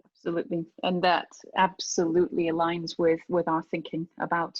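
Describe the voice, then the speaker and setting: A woman's voice: fairly low pitch, monotone, moderate pace, dry recording, muffled recording. A woman hosting an interview, affirming her guest with short replies and light joking. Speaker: podcast host.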